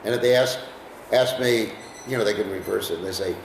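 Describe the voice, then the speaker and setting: A man's voice: lowish voice, fairly quick, gravelly, distant mic. An American man leads a scripture class in an easy, conversational way, often stopping to quiz his listeners. He sounds set back from the microphone in a roomy, echoing space. A faint hum of ambience sits behind him. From United States. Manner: conversational, asks the class questions. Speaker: lecturer on scripture.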